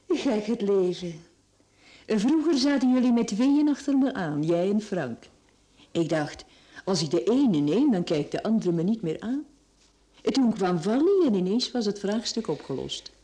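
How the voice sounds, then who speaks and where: An actress with a Flemish accent playing a teasing, flirtatious middle-aged mistress in a radio drama, coaxing and sly. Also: female voice, mid-range voice, expressive, a little slow, long pauses, mellow, natural voice. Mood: teasing, nostalgic.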